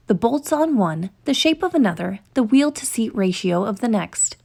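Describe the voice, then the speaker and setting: A woman reading a children's picture book aloud in an expressive, deliberate storytelling manner, stretching words for emphasis. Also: on the high side, creaky. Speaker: storytime reader.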